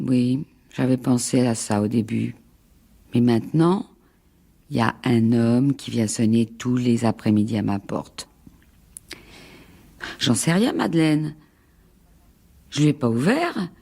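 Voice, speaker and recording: Slow, creaky, frightened housewife. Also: female voice, very deep voice, mellow, exaggerated voice. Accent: French. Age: 40s. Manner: anxious, halting, repeats herself. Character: frightened, exhausted middle-aged housewife, pleading and haunted. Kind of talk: radio mystery drama acting.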